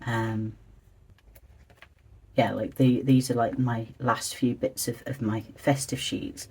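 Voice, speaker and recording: A vlogger with a slightly croaky voice, chatting quietly and hesitantly, thinking aloud while decorating a journal. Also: flat delivery, slow. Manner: hesitant, meandering, soft-spoken and low-key. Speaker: stationery and journaling video creator.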